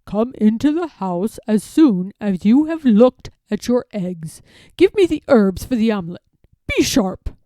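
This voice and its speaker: A woman voicing a suave, sly gentleman fox in a children's storybook, formal and smooth-talking with a bossy streak. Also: on the high side, very animated, a little slow, dry recording, studio quality. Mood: abrupt, impatient.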